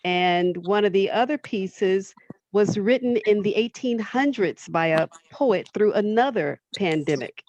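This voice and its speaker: Slow-talking woman on dull, noisy call audio. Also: mid-range voice, lively intonation, darkish tone. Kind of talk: casual video-call remarks.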